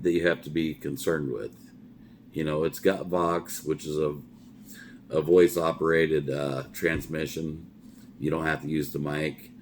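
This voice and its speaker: A middle-aged man with a very deep, low voice talks like a trucker giving followers his take on some gear. He is plain-spoken and folksy, doubling back on words in casual review chat. There is faint background noise on the recording.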